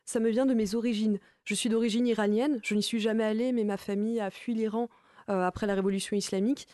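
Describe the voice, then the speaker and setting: A woman answers as a political guest in an interview. Her voice is soft-edged and relaxed, a little high. She explains in an orderly, teaching manner, in a dry, close recording. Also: quick pace, dry recording. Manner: didactic, structured, enumerating points.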